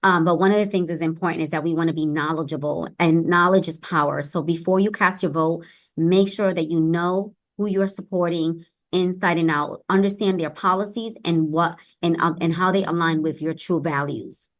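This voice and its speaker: A woman's voice: on the low side, steady tone, moderate pace. A woman speaking earnestly and emphatically as a guest in an interview about her work as a public official. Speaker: city health director, interview guest.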